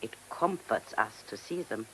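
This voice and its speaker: An actress playing a poised, guarded Viennese restaurant hostess in a radio play, with a German accent and formal delivery. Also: female voice, very deep voice, lively intonation, unhurried, bright, forceful tone, slightly rough, exaggerated voice, poor audio. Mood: composed. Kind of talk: radio drama acting.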